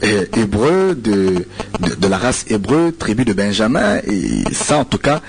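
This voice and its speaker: A man's voice: on the low side, wildly swooping pitch, a little slow, creaky. A man from Congo with a Congolese French accent, a radio preacher answering listener questions, hesitant, with many repeated words and fillers. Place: radio studio.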